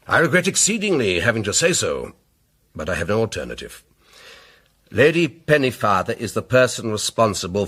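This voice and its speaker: Older English male, self-assured lawyer: low, slightly husky, rhetorical, pompous, radio drama. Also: fairly bright tone, heavily acted.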